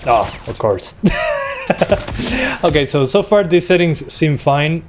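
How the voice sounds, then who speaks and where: A man's voice: fairly high pitch, takes it slowly, noisy background. Easygoing man streaming a shooter. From Uruguay. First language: Spanish. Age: 20s. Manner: relaxed, chatty, answers chat between fights. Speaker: tech streamer. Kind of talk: casual live-stream commentary while gaming. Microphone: desktop USB microphone. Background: faint game gunfire.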